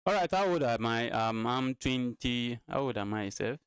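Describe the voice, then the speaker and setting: A man's voice: medium pitch, animated, moderate pace, clean tone, no echo. Male chess streamer, twenties, clean resonant voice, dry sound, chatty and repetitive with viewers. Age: 20s. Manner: talks to viewers, repeats his phrases. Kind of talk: casual live-stream chess commentary.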